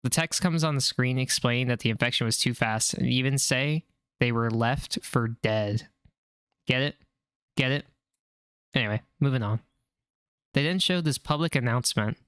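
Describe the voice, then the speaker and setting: A man works as a video narrator, giving explainer commentary on horror videos. His voice sits at an ordinary middle pitch, recorded close and dry with no room sound. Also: normal speed, long pauses, fairly bright tone, clear, resonant tone, dry recording.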